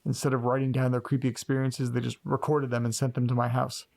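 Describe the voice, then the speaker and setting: A man's voice: mid-range voice, level tone, quick pace, gravelly, background noise, natural voice. A man acting as an anxious, self-doubting man caught up in eerie mysteries, speaking hesitantly and earnestly in a naturalistic horror audio drama. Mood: puzzled, intrigued.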